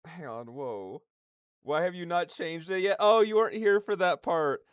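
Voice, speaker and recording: A man hosting a casual reaction livestream, rambling in chatty, slangy speech with an animated, self-deprecating manner. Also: high voice, normal speed, clean tone, dry recording. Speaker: livestream host.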